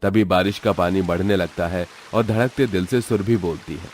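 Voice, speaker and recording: A man's voice: sits low, normal speed, a little mellow, a little hiss or hum. A man narrating a scripted, dramatic story.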